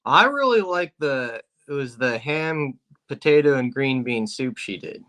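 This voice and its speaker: A man's voice: medium pitch, lively intonation, unhurried, bright, forceful tone. A man from Pennsylvania joking in a casual chat, delivering tall tales with a straight face. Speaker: co-host.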